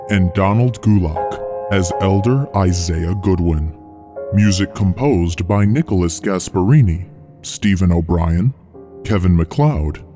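A man with a very low, dark voice reads podcast credits. His announcing is scripted and deadpan, with some music behind him.

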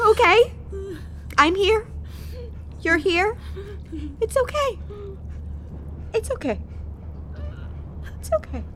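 A woman's voice: very high-pitched, sharp tone, slight background noise, exaggerated voice. An actress playing a firm, earnest female colony commander in a sci-fi audio drama. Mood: soothing.

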